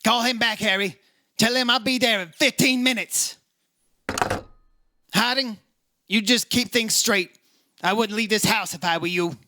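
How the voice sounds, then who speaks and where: A bright, forceful radio-play detective, acted by a man, pitched high for a male voice. He is insistent and commanding, firing off clipped questions and narrating his case in old-time detective style. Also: a little slow, pauses often. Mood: brisk, businesslike. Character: brisk, insistent male insurance investigator and narrator.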